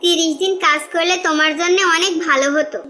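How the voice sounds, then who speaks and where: A woman playing a demanding, sharp-tongued young wife in an Islamic cartoon, nagging and questioning. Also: extremely high pitch, fairly even intonation, fast talker, over music. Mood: reproachful. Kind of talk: Islamic moral-tale cartoon dubbing.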